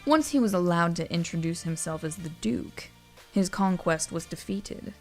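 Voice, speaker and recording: Wry, low-pitched woman storyteller with creaky edge. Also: normal speed, a little mellow, soft background music. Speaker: narrator. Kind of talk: scripted story narration.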